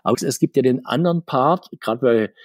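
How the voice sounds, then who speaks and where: Male interview guest, husky tone, talkative and self-correcting, dry close sound. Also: medium pitch, brisk, a little mellow, no echo. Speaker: guest, comics author. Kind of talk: conversational podcast interview.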